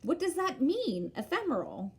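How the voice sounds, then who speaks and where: Storybook little prince: woman as a gentle young boy, high soft voice, wondering and persistent. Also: huge pitch swings, normal speed, heavily acted. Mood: curious. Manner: wondering, insistent questioning.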